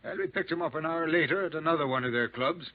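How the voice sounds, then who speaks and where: A man's voice: a little high, quick pace, natural voice. A man playing a chatty, grumbling, good-natured homicide lieutenant in a 1950s radio drama. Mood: matter-of-fact. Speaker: radio actor. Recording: muffled vintage 1950s radio, faint noise.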